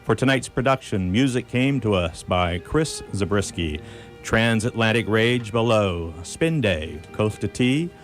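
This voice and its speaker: A man with a fairly low voice announces radio play credits. He reads from a script over clearly audible music. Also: animated, measured pace.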